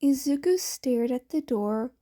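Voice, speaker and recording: A woman reading fan fiction aloud as an amateur audiobook narrator, with expressive storytelling. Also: sits high, unhurried.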